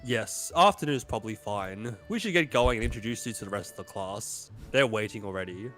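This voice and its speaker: A man voicing a matter-of-fact high school homeroom teacher, reading visual novel dialogue aloud. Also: expressive, fairly quick, pushed and bright.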